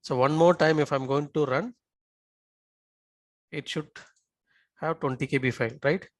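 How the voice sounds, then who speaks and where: A man giving a live software tutorial as an instructor, often checking in with short tag questions. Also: on the high side, steady tone, a little slow, no echo.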